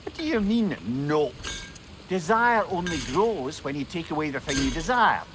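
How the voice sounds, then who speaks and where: Proud, high-voiced arguing man. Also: very animated, noisy background. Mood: indignant. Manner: indignant, quick to argue. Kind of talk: video game character acting.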